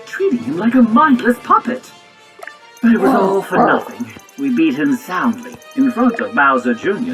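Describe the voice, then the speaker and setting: Cartoonish game heroine: highish, swooping hugely in pitch, theatrical and cocky, music underneath. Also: female voice, a bit bright, far from the mic, rough recording. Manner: dramatic, wordy, boastful delivery. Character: theatrical, brash, excitable female video game hero creature. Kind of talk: scripted cartoonish game cutscene acting.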